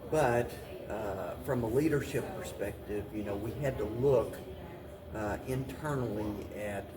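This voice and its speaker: A man speaking as an interview guest and city mayor, giving halting, measured answers and often repeating phrases. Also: fairly low pitch. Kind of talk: talk show interview answers. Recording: distant mic, clearly noisy room.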